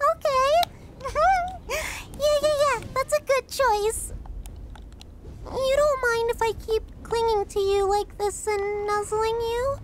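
A woman voicing a needy, affectionate, excitable young puppygirl in an ASMR roleplay, cutesy, pouty and clingy. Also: extremely high pitch, slow pace, slight background noise. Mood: giggly, adoring.

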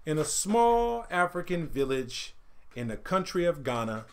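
A middle-aged man reading a picture book aloud as an expressive storyteller, with deliberate, emphatic phrasing that falls away at phrase ends. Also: a little high, clean tone. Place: indoors, small home studio room.

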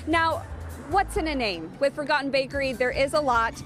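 Bubbly high-voiced woman reporting live. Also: a bit bright, faint music behind. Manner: bubbly, quick and chatty. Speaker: field reporter. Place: outdoors at a bakery patio. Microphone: handheld mic.

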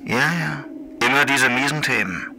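Sharp, menacing federal prosecutor. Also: male voice, medium pitch, normal speed, vocal fry, slight background noise, low-quality recording. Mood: suspicious. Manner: officious, admonishing, formal. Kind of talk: German radio play acting.

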